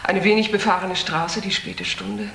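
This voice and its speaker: An actress playing a middle-aged, self-assured country doctor in a German crime radio play, cool and matter-of-fact. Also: female voice, on the low side, big pitch swings, moderate pace, fairly bright tone. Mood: cool, challenging. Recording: roomy audio drama, faint noise.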